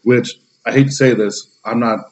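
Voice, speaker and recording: A man's voice: medium pitch, fairly even intonation, normal speed. A man in his thirties hosting a casual podcast, ranting emphatically with plenty of swearing. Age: 30s. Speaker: podcast host.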